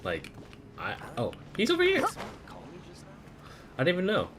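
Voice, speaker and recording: Animated streamer with clear background noise. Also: mid-range voice, normal speed. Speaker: game streamer. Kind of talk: casual live playthrough commentary.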